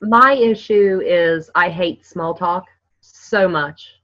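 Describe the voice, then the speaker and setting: A blunt, frank woman talks on a casual group call. Her voice is forceful and bright. The audio sounds dull, like a muffled online call. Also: fairly low pitch, slow pace.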